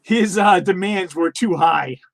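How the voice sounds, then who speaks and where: A high-pitched man co-hosting a casual podcast, his voice dry with no room sound around it. He passes along film trivia in a relaxed, hesitant way, leaning on uhs and ums. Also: measured pace, gravelly, no echo. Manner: laid-back, sharing trivia with hesitant fillers. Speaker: podcast co-host. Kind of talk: casual film review chat.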